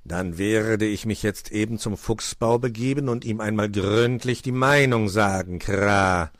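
A man's voice: fairly low pitch, no room sound, clean studio sound, lightly acted. A man voicing a stern, officious raven who talks pompously and caws, in a children's story. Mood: outraged. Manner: slow, drawn-out, pompous, caws "Kra". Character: stern, officious male raven inspector, cawing and grave.

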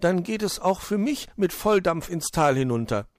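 A man's voice: sits high, big pitch swings, normal speed, dry recording, studio quality, pitched above the performer's own voice. A man voicing a small squirrel in a children's story, hopeful and a little plaintive.

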